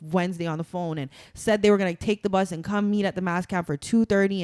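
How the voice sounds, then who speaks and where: Young woman, podcast guest, smooth clear tone, dry close sound, emphatic and chatty. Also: medium pitch, a little mellow, no room sound. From Toronto, Canada. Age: young adult. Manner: chatty and emphatic, many "like" fillers. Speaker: guest, carnival masquerader. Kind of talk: casual podcast conversation.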